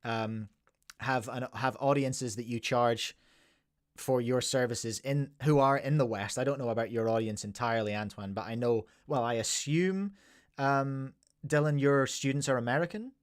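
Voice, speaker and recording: A man's voice: mid-range voice, normal speed, dry recording, studio quality. A man from Scotland with a Scottish accent, hosting a podcast and talking in a winding, chatty way with asides to listeners. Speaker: podcast host. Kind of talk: casual podcast chat.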